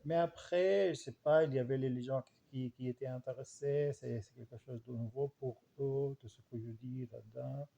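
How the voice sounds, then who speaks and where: A man with an Arabic accent and an Arabic dialect as his first language reflects informally, haltingly searching for words and repeating himself. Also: medium pitch, fairly even intonation, vocal fry, dark tone, dull audio. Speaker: language researcher and writer.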